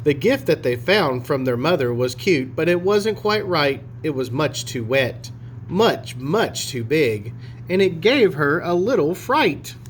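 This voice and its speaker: Slow, expressive man reading a storybook. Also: a little high, slight background noise. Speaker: storybook reader. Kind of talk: expressive read-aloud for children.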